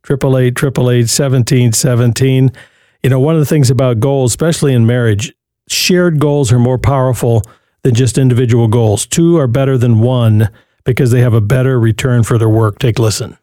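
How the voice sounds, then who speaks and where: Male radio host, mellow dry studio voice, preachy and urging. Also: mid-range voice, fairly even intonation, brisk, dry recording. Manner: preachy, urging listeners to call.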